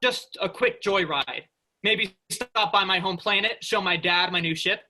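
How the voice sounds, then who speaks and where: Brash would-be starship captain, eager and cocky played by a male actor, high pitch, very sharp forceful tone, sci-fi comedy reading. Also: unhurried, full character voice. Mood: relaxed, playful. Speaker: actor in a student play reading.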